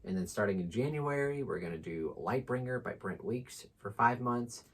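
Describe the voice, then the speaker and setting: A man's voice: medium pitch, animated, normal speed. A man co-hosting a casual book chat with informal, slangy, enthusiastic talk. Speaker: book channel co-host.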